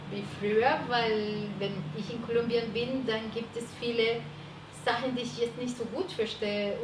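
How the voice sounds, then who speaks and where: A woman in her forties from Colombia with a Colombian Spanish accent, speaking earnestly on a panel with small grammar slips. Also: on the high side. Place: seminar room with a panel. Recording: distant mic in an echoey noisy room.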